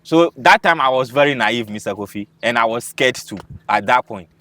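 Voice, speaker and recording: A man with a Ghanaian accent and a loud voice, animatedly telling a story as an interview guest and acting out the people in it. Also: medium pitch, moderate pace, no echo. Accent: Ghanaian English. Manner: animated, acts out quoted dialogue.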